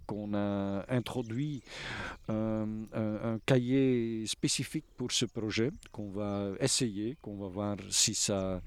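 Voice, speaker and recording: Guy, low-ish voice, super slow, keeps restarting and hunting for words in an interview. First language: Flemish. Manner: halting, searching for words, frequent restarts. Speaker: theatre observer interviewee. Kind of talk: reflective interview answers.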